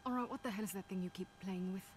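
A stern and proud female mercenary boss from an adventure game, acted by a woman. Her delivery is dry, terse and matter-of-fact. Ambience and vehicle noise are clearly audible behind her. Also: medium pitch, racing, close to an everyday voice. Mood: stern, bitter. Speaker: video game voice actor. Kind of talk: naturalistic game dialogue.

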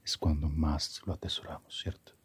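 A man voicing Death as a calm, philosophical figure in a slow ASMR roleplay, hushed, breathy and half-whispered, musing gently. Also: very deep voice, full character voice. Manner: unhurried, musing, asks reflective questions.

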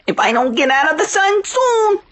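A cheeky little cartoon piglet, high and swooping in pitch. The giggly voice sits in a clearly noisy recording. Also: female voice, big pitch swings, normal speed. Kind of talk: animated character skit. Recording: noisy, clicky app recording.

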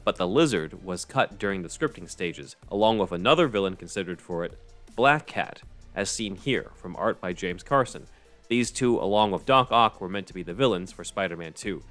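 A man delivers scripted narration as a podcast co-host. His voice sits low in pitch. Music plays faintly behind him. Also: moderate pace, fairly bright tone.

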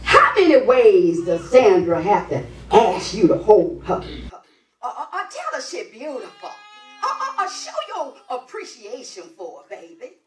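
A woman's voice: a little high, wildly swooping pitch, unhurried, distant mic, slight background noise. A woman on stage playing a sassy old American grandmother who advises in a folksy way, repeating her words. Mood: knowing, teasing. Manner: folksy, repeats words, calls people baby and sugar. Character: sassy old grandmother dispensing frank marriage advice. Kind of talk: comedic stage play acting. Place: indoor stage set in a hall. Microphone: distant camera. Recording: echoey stage, picked up from afar.

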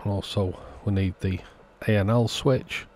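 A man's voice: fairly low pitch, fairly even intonation, moderate pace, a little mellow, a little hiss or hum. A man narrating a hands-on repair walkthrough in a matter-of-fact, understated way, as the host of a repair channel.

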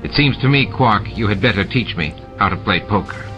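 A man voicing a stern, dry shapeshifting male security chief who talks in measured, sarcastic sentences. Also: on the low side, lively intonation, lightly acted. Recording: dull lo-fi with music behind.

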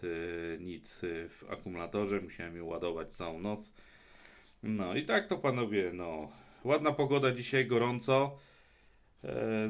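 A man's voice: lowish voice, fairly bright tone. A man talking casually as a CB radio operator, with a colloquial, plain-spoken manner. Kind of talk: casual chat in turns on CB radio.

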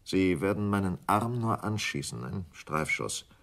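An actor playing a smooth, scheming English stockbroker in a German radio crime drama, speaking in a deliberate, condescending way. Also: male voice, deep voice, lively intonation, a bit bright, full character voice. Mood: urgent, controlled.

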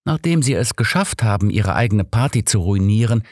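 A bossy, motherly woman , played by a man in an audiobook. The voice is pitched up and swings in a lively way, brisk and emphatic. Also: no echo, studio quality. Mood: exasperated. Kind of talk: audiobook character reading.

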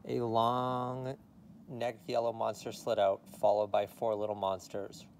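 A man's voice: on the low side, completely flat delivery, a little slow, darkish tone, a little hiss or hum. Man reading a children's story. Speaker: read-aloud reader.

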